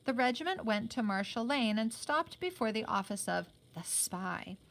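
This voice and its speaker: A woman's voice: on the high side, animated, normal speed, vocal fry. A woman reading a children's novel aloud as a teacher, expressively and with simple explanations.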